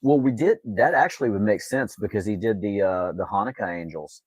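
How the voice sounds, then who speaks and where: A low-voiced man riffs through a casual chat as co-host. His manner is joking and mock-grand, and the sound is dry. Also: a little fast, slightly rough, dry recording. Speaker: show co-host.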